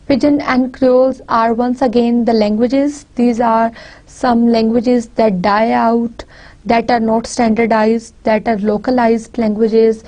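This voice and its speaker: A woman from Pakistan with a Pakistani accent, a native Punjabi speaker, giving a university lecture deliberately, often repeating and restarting phrases. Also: on the high side, warm tone, poor audio. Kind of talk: recorded lecture.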